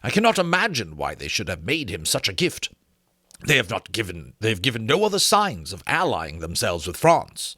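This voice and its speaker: A man voicing a learned, grave gentleman scholar with formal, courteous speech in a dramatic book reading. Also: fairly low pitch, wildly swooping pitch, normal speed, dry recording, clean studio sound, exaggerated voice. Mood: grave, explanatory. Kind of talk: dramatic audiobook character reading.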